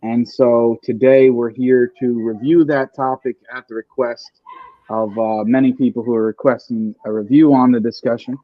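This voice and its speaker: A man's voice: medium pitch, level tone, normal speed, dry recording. A male podcast host in casual chat, explaining an idea step by step with many fillers. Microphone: home computer mic over a stream. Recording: dull lo-fi mic.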